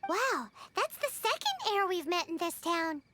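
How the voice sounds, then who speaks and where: A woman's voice: very high voice, very animated, measured pace, very noisy, heavily acted. A woman voicing a tiny, childlike fairy companion in a video game, cheeky, chatty and blunt. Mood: curious, evasive. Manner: blunt, teasing, refers to self by name. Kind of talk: animated game character acting.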